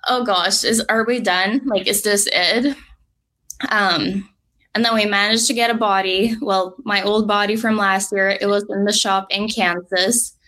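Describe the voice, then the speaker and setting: Woman, Norwegian accent, 20s, bright clear voice, chatty storyteller. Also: mid-range voice, a little slow. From Norway. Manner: lively, fillers of "like", laughs easily. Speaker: drag racing driver guest. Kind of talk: animated storytelling interview.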